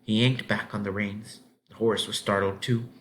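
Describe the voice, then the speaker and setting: A man narrating a children's novel aloud in an expressive storybook style. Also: fairly low pitch, normal speed, a little hiss or hum.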